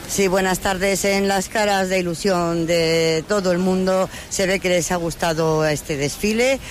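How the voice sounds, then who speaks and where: A woman from reporting live from a street parade, lively and bright. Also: lowish voice, unhurried. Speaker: field reporter.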